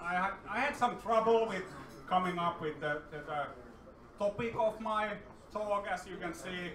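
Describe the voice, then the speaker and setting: Man, Finnish accent, high voice, hesitant, self-deprecating, informal stage talk, roomy echo, audible crowd. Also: normal speed. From Finland. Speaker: guest speaker, indie game developer. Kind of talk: informal personal-story talk. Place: meetup venue with live audience. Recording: echoey room, distant sound, crowd noise.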